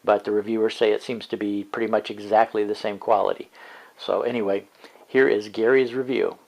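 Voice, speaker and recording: An older man hosting his home video show, talking in a relaxed, rambling way with many fillers, on a webcam in a small room. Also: on the low side, fairly quick, vocal fry. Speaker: show host. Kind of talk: casual video show talk.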